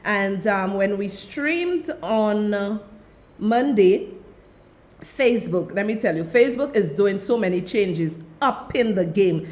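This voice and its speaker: A woman's voice: medium pitch, animated, takes it slowly, a little mellow. A woman with a Trinidadian accent hosting her own radio talk show, chatting directly to her listeners into a studio mic. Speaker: radio and web show host.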